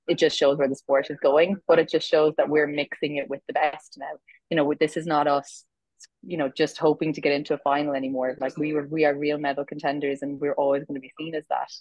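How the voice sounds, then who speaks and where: Hoarse irish woman, low flat voice, dry close sound, earnest, rambles with restarts. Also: no room sound, dull audio. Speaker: former sprinter, pundit. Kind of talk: casual sports analysis.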